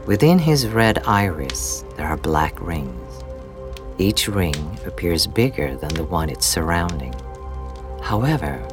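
A man narrating a scripted fantasy audio drama in a measured, deliberate way. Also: deep voice. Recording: music under the voice. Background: music.

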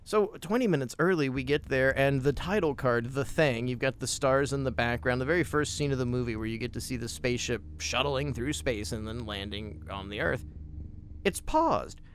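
A man's voice: mid-range voice, a little hiss or hum. A man hosting a conversational film podcast, speaking in an emphatic, opinionated way. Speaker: podcast host.

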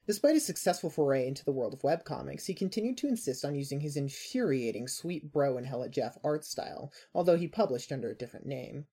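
Mellow, slightly husky story narrator. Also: mid-range voice, expressive, quick pace, hardly any pauses. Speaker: narrator of a fan fiction podcast. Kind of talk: scripted story narration, second person.

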